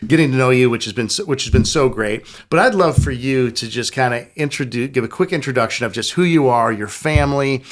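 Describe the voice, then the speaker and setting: A man's voice: mid-range voice, fairly quick, hardly any pauses. A man hosting a podcast, asking long, rambling questions in a casual, affirming way. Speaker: podcast host. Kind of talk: casual interview.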